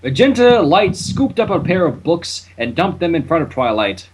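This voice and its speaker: A man narrating fan fiction in an animated storytelling style. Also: a little high, moderate pace, slightly rough, low-quality recording. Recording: noticeable background noise.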